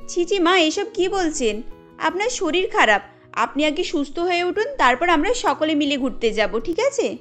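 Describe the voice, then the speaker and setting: A woman playing a high-voiced caring young bride. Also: very animated, moderate pace, a bit bright, faint music behind, exaggerated voice. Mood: concerned. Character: caring, polite young bride and daughter-in-law. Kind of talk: cartoon dialogue acting.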